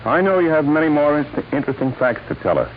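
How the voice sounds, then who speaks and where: An actor playing a formal police commissioner hosting a scripted 1940s radio crime programme. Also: male voice, on the high side, very animated, fast talker. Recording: tinny 1940s radio recording with hiss.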